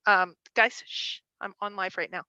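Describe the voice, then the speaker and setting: A woman's voice: medium pitch, steady tone, moderate pace, pushed and bright, dry recording, lo-fi sound. A woman co-hosting a livestream, chatting casually and frankly, often digressing and reading out viewer comments. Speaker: livestream co-host.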